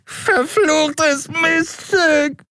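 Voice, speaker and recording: A man's voice: very animated, takes it slowly, slightly rough, dry recording, clean studio sound, heavily acted. A man voicing a gaunt young male drug dealer with a wheedling, fluting manner, in an audiobook. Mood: shrill whining. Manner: fluting, wheedling, then shrill.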